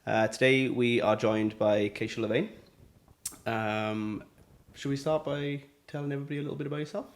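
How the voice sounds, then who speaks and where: A man's voice: mid-range voice, fairly even intonation, moderate pace. A man hosting an interview, asking friendly, rambling questions full of ums and restarts. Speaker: podcast host. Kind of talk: casual interview chat.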